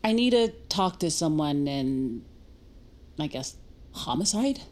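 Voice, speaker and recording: An actress playing a hesitant, earnest young American woman in a naturalistic audio drama, speaking in a halting, awkward, wry way. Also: low-pitched, expressive, a little mellow. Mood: nervous, hesitant.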